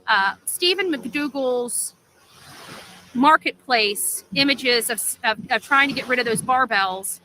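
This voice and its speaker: High, bright-voiced woman rambling on livestream. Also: takes it slowly, slight background noise, low-quality recording. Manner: rambling, explanatory, checking with listeners. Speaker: livestream amateur sleuth. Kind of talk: casual livestream talk.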